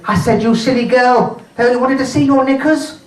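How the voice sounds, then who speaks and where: A middle-aged pantomime dame played by a man delivers saucy stand-up as a self-pitying widow and nurse. The voice swoops up and down with deliberate comic pauses. It is heard at a distance from the microphone in a roomy live venue. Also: high voice, sing-song. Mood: saucy confiding. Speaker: stand-up comedian. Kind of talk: stand-up comedy routine in pantomime dame character. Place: indoor charity show before a live audience.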